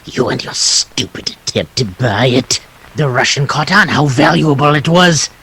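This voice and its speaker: An actor playing an excitable, venomous criminal accomplice with a husky voice in a detective radio play. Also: male voice, mid-range voice, normal speed, exaggerated voice. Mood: furious.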